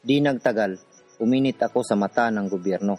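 A man's voice: on the low side, a little fast, close to an everyday voice. A man playing an earnest, determined activist from the urban poor, telling his story in a radio drama. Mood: resolute. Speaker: narrator and protagonist. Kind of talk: radio drama narration and dialogue. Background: music.

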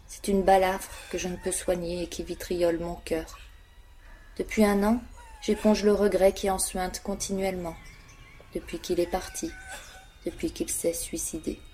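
A woman's voice: fairly low pitch, warm tone, slight background noise, lightly acted. A woman playing an anguished, protective young mother in an audio drama, speaking earnestly. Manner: earnest, sorrowful. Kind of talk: dramatic monologue in an audio play.

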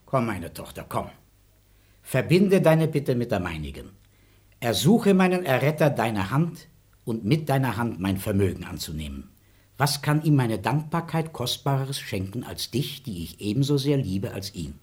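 An actor playing a grateful, wealthy baron and father, effusive and formal, with a husky voice. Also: mid-range voice, sing-song, normal speed, a little mellow. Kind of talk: radio play acting.

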